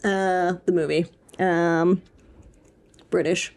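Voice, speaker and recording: A woman's voice: fairly low pitch, steady tone, slow pace, darkish tone. A young woman co-hosting a podcast, giving her opinions in an informal, emphatic way. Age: young adult. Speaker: podcast co-host. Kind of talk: casual opinionated discussion chat.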